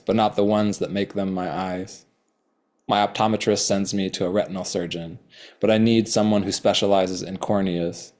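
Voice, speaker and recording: A man reading his own poems slowly and deliberately, with hesitant, rambling remarks between them. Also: fairly low pitch, darkish tone. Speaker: poet. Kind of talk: poetry reading with chatty asides.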